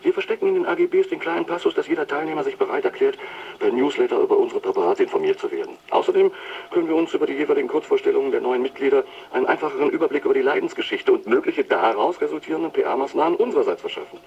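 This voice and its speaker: A man's voice: medium pitch, big pitch swings, rapid-fire, darkish tone. An actor playing a brusque, pompous male company boss in a radio drama, officious and commanding. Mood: officious, demanding. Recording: dull lo-fi sound with faint noise.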